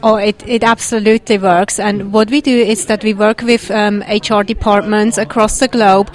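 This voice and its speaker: A woman from Switzerland with a Swiss accent, a guest on a podcast, talking in an earnest, measured way with frequent "um" fillers. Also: medium pitch, little pitch movement. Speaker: podcast guest, agile HR consultant. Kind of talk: conversational interview.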